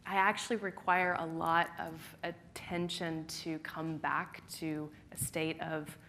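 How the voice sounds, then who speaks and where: A woman answering questions on a live panel, animated and self-described as hot-headed and fiery. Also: sits low, measured pace, slight background noise.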